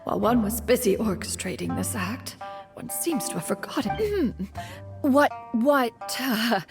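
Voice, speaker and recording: Haughty, high-voiced, fussy master. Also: female voice, lively intonation, measured pace, over music. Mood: flustered. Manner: pompous, stilted, ornate formal speech. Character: haughty, fussy mature female master, stagily over-polite. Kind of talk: video game dialogue.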